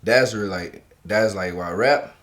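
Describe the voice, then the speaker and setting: A man's voice: lowish voice, a little slow, a bit bright. A young man hosting a casual interview, talking in a loose, slangy, profane way. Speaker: host.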